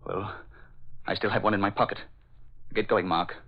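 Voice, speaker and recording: A man's voice: on the low side, steady tone, moderate pace, lightly acted. An actor playing a scheming, menacing male Gestapo agent in a 1940s radio drama, commanding and urgent in manner. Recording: muffled vintage radio recording.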